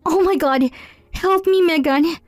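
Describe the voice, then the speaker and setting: A shrieking, pained young woman from an audio drama, acted by a female narrator. Her voice is very high and strained, full of whimpers and helpless exclamations. Music plays underneath. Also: slow pace, dry recording. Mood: panicked, pained.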